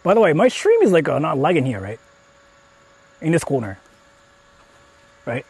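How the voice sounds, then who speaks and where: A man's voice: a little high, wildly swooping pitch, normal speed, a little mellow, slightly rough. A young man live-streaming outdoors from his phone, chatting casually with viewers and swearing freely. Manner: chatty, talks to viewers, frequent swearing and fillers. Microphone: phone held while streaming.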